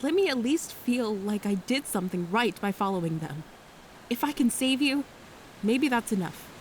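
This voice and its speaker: Casting: young female military officer, played by an actress, higher voice, formal, clipped, resigned, audio drama, faint hiss behind. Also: animated, normal speed, natural voice. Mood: defeated. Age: young adult. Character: young female navy ensign, dutiful, resigned, shaken.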